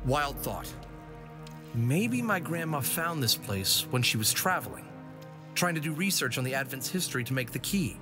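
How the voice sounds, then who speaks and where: A man voices a male fox adventurer for an audio drama. He takes his time and swings up and down in pitch, sounding unsure yet curious, with a wry edge. Music plays clearly behind him. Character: male fox adventurer, self-doubting, curious treasure hunter.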